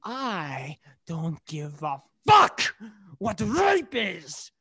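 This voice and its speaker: An actor playing a cruel, menacing soldier in a radio play, sneering, taunting and commanding. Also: male voice, high voice, wildly swooping pitch, drawn-out words, sharp tone, slightly rough, dry recording, clean studio sound, full character voice. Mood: sneering, threatening.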